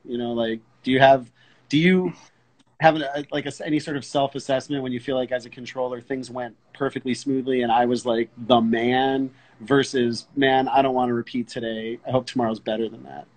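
A man's voice: medium pitch, steady tone, brisk, poor audio. A middle-aged man hosting a casual interview over a video call, thinking aloud as he frames his questions. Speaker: host, pilot interviewer. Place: indoors in an armchair, video call.

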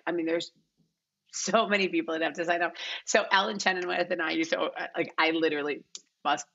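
A woman's voice: deep voice, fairly bright tone, muffled recording. A middle-aged woman answering casually as an interview guest, emphatic and repeating words for emphasis, on a video call from home. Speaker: guest, casting director.

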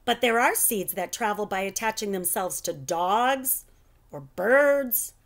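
A woman's voice: medium pitch, lively intonation, unhurried. A woman voicing a cheerful, adventurous woman schoolteacher in a children's storybook, exuberant and encouraging. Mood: brisk, reassuring.

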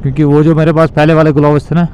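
A young man with a slightly high voice chats casually to his viewers as he rides a motorbike through town. He rambles in a loose, repetitive way, picked up by a mic on his camera rig, with faint traffic noise behind him. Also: flat delivery, racing, warm tone. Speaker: moto vlogger. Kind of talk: casual narration while riding a motorbike. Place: outdoors on a motorbike in city traffic.

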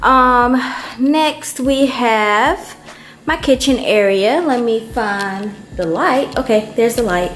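Woman, vlogger, slow relaxed pace, chatty with ums, handheld camera, empty indoor apartment. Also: a little high. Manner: unhurried, chatty, many ums. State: hot and worn out from moving. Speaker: vlogger giving an apartment tour. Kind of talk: casual walkthrough narration.

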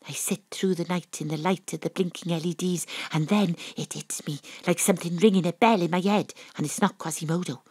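An actress playing a wry, dogged private detective with a Welsh accent and a husky voice, in audio drama narration and dialogue. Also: female voice, lowish voice, steady tone, a little fast, few pauses, a little mellow, no room sound. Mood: wry, reflective.

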